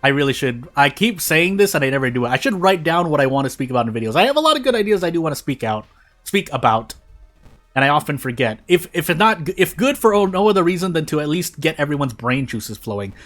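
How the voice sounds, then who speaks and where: Male gamer, fairly high voice, very lively intonation; scattered, self-deprecating off-the-cuff commentary. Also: fast talker, soft background music. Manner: rambling, self-interrupting, often losing his train of thought. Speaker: video creator and gamer. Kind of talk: casual gameplay commentary.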